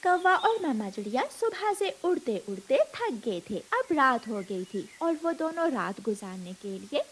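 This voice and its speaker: A woman narrates a scripted children's tale. Her voice is very high and highly animated in pitch, with a slight hiss underneath. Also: a little slow. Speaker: story narrator. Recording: noisy with hiss.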